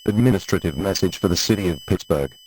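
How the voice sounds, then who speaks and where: A man's voice: sits low, fast, a little mellow, a little hiss or hum, rough recording. A man reading a scripted administrative emergency message in a formal, official manner. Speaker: emergency alert announcer. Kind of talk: scripted emergency broadcast announcement.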